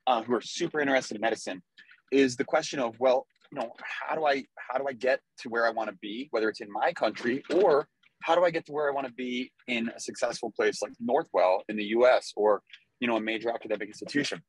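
A man's voice: medium pitch, fast talker, breathy edge, no echo, poor audio. A man hosting a webinar and introducing the speakers in a loose, chatty way from a moving car. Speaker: webinar host. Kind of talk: casual introduction. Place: car driving through a city.

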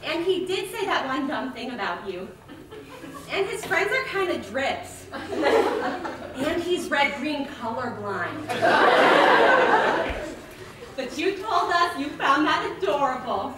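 A woman's voice: high-pitched, sing-song, takes it slowly, music in the background, exaggerated voice. A young woman playing an earnest, melodramatic best-friend sidekick in a stage comedy, emphatic and drawn out. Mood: earnest, coaxing. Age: young adult. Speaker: student stage actor. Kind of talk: theatrical stage comedy acting. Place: large theater stage, indoors. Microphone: far camera. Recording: distant, echoey theater with audience laughter.

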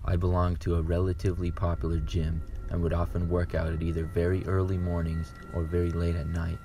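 A man reading true horror stories aloud in a calm, steady first-person narration. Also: low-pitched, fairly quick, music in the background. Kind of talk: scripted horror story narration.

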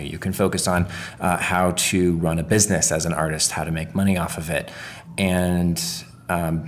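A man's voice: deep voice, slight background noise. A man co-hosting a podcast in a reflective, conversational style. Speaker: podcast co-host.

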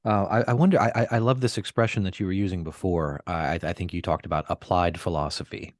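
Deep-voiced man asking long questions. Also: fairly even intonation, quick pace, no echo, clean studio sound. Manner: long winding questions, hesitant repeats. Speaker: podcast host. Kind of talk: academic interview questions.

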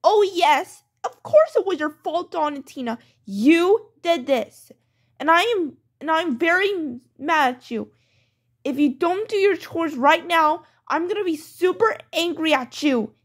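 Woman as a high-voiced, strict scolding mother. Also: expressive, measured pace. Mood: angry. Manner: firm, repetitive, scolding. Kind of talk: homemade pretend-play skit.

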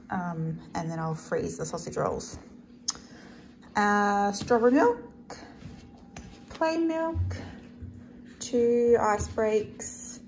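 Slow-talking Australian woman with creaky voice. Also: mid-range voice, noisy background. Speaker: vlogger. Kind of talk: casual grocery haul chat to camera.

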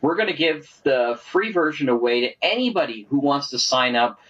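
A man with a husky voice co-hosts in an easygoing, chatty way. His pitch sits in the middle, and the recording is a bit dull and lo-fi. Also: animated, a little fast, a bit bright. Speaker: podcast co-host. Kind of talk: casual chat. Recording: slightly dull, lo-fi sound.

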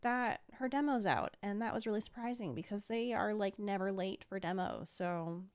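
A woman's voice: medium pitch, normal speed. Young woman streamer with a slight lisp. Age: young adult. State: tired. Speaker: streamer reacting to game trailers. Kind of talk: casual reaction chat. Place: small room at home. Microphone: close desk microphone with headset.

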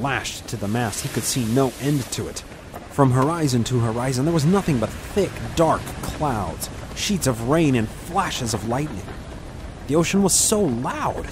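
A man's voice: mid-range voice, noisy background. A man narrating a scripted adventure story in an intense, dramatic storytelling manner.

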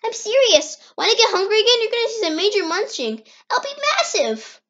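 A woman's voice: very high voice, sing-song, a little fast, fairly bright tone, lo-fi sound, exaggerated voice. A woman voicing an eager, playful little boy fox in an amateur comic dub. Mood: defiant, hurt.

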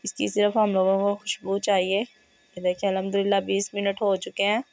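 Hoarse woman explaining a home recipe. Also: mid-range voice, a little fast, no room sound. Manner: steady step-by-step instructions, repeats measures. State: hoarse, has a fever. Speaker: cooking channel host. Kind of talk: home cooking tutorial. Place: home kitchen, indoors.

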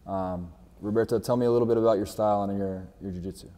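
A man's voice: fairly low pitch, steady tone, fast, mellow. A man hosting an interview, friendly and loose, with frequent "uh" and restarted questions. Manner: friendly, with frequent "uh" and repeated starts. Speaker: interview host, jiu-jitsu instructor. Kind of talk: casual interview. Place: gym with large windows, indoors. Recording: long reverb, faint music behind.

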